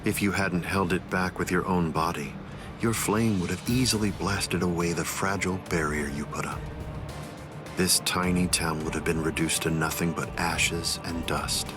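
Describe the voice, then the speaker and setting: An actor playing a calm, menacing villain swordsman in an anime video game, speaking in a measured, formal, condescending way. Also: low-pitched, lightly acted. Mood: mocking, superior. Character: calm, menacing male villain swordsman, coldly superior schemer. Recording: loud music behind the voice. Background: music.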